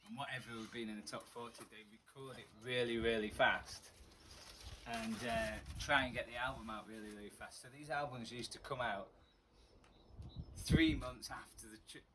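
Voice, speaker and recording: A man's voice: medium pitch, normal speed, sharp tone, slight background noise. An older man from the UK, chatting casually and rambling, with many fillers as he reminisces. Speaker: vlogger. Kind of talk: casual chat to camera. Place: outdoors in a garden.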